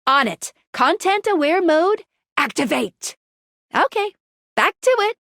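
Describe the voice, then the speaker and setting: A woman's voice: high voice, big pitch swings, unhurried, creaky, a bit bright, dry recording, clean studio sound, full character voice. A woman playing a perky, quirky guide character in a video game, playful and bubbly as she explains things. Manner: playful, bubbly, cutesy slang.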